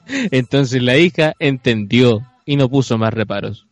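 Slow-paced Chilean man narrating mock-solemnly. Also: medium pitch, lively intonation, no room sound, soft background music. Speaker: narrator in an improv comedy show. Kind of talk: improvised mock-solemn storytelling.

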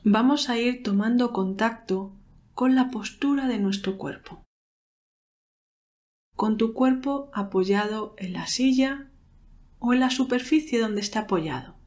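A woman leading a guided meditation in a calm, unhurried, soothing manner with pauses. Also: fairly high pitch. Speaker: meditation guide, podcast host.

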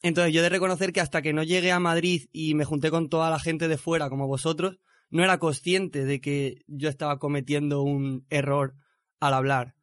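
High-pitched Spanish man chatting on a panel. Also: level tone, a little fast, fairly bright tone, no echo, clean studio sound. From Extremadura, Spain. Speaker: podcast panelist. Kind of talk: casual panel discussion.

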